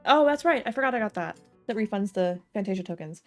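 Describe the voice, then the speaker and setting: A woman's voice: mid-range voice, animated, quick pace. A woman in her twenties talks casually to her live stream. She chats as she plays a game, with music running behind her voice. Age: 20s. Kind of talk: casual gaming stream chat.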